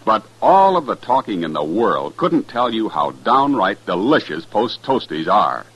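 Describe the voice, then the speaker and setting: An American man announcing and selling in 1950s radio style, emphatic and folksy, with a husky voice. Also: lowish voice, very animated, measured pace, a bit bright, rough recording.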